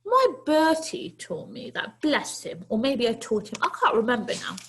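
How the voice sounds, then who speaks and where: A woman reading a children's storybook, voicing a small old lady who is brisk, hospitable and gently scolding, with a chatty, questioning manner. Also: on the high side, wildly swooping pitch, slight background noise. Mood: kindly, encouraging. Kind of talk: children's storybook read aloud.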